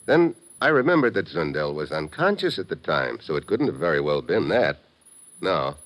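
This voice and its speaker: An actor playing a tough, wry American secret agent, hard-boiled and slangy, in 1950s radio drama style. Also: male voice, extremely low pitch, sing-song, moderate pace, a bit bright, full character voice. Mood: wry, urgent.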